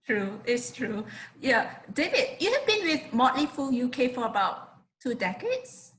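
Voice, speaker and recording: A woman's voice: high-pitched, very animated, unhurried, fairly bright tone. A woman hosting an interview, putting her questions across hesitantly with many fillers. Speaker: interviewer, host.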